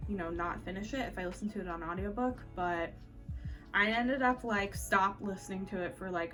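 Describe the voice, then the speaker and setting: A woman with a mid-pitched voice chats informally to viewers as a book reviewer. Her delivery is giggly and loose, peppered with filler words. She sounds a little away from the microphone, with faint music underneath. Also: a bit bright, recorded from a distance. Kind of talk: casual chatty book review to camera.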